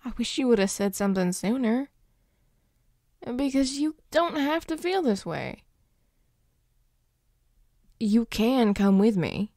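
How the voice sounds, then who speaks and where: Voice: medium pitch, no echo. An actor playing a grumpy but caring werewolf boyfriend in an intimate audio roleplay, dry and exasperated, with sighs. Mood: concerned, tender. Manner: sighing, dry, exasperated, teasing.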